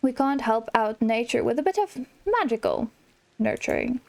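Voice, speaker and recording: A woman reading aloud the lines of a helpful old male wizard from a video game, in a measured, deliberate way. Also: a little high, lively intonation, vocal fry, a little mellow. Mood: explaining.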